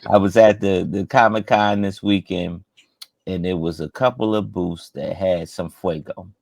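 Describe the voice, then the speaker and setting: A man's voice: low voice, steady tone, unhurried, creaky, darkish tone, no room sound. A man co-hosting a podcast, telling a rambling story full of fillers and laughter. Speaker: podcast co-host. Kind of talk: casual chat.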